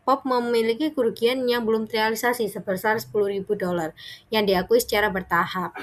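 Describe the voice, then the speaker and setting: A young woman gives a student presentation in an informal, explanatory style. She talks conversationally and goes through things step by step in a medium-pitched voice. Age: young adult.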